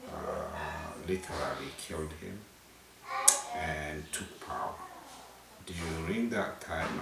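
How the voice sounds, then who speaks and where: A man, answering interview questions in a halting way with frequent restarts. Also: extremely low pitch. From Ethiopia. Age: 60s. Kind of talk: oral history interview. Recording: roomy, distant mic, background noise.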